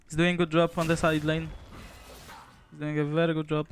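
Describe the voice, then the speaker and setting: A young man from Poland talks through his game in a loose, easygoing stream style. His voice sits a touch higher than most men's, and he drops the odd swear. A faint background hiss runs under him. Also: completely flat delivery, moderate pace. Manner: laid-back, chatty, swears casually, chuckles often. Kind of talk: casual live gameplay commentary.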